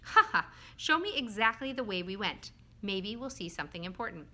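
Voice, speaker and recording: A young storybook heroine, voiced by a woman, curious, caring and a little bossy. She speaks directly and coaxingly, full of questions. Her tone is bright, with lively rises and falls. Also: medium pitch, normal speed, a little hiss or hum. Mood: eager, insistent.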